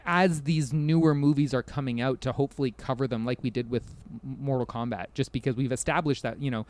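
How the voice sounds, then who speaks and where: A man's voice: medium pitch, steady tone, fast, a little mellow. A man chatting casually as a podcast guest, rambling and self-deprecating, often hedging and chuckling as he talks.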